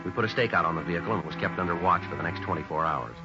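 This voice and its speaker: A man playing a stern, businesslike police sergeant who narrates a 1950s radio drama in a terse, deadpan way. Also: sits low, racing, close to an everyday voice. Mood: flat, factual. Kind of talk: 1950s radio acting, clipped procedural narration. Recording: dull 1950s radio recording with music.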